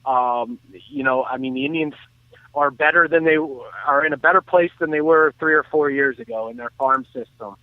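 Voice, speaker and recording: Heard through a thin, phone-like connection with no room sound, a man talks sports. His voice sits at an average pitch, and he analyses casually, restarting phrases as he goes. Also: moderate pace, no echo. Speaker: sports talk commentator. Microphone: phone call. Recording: thin, tinny phone line.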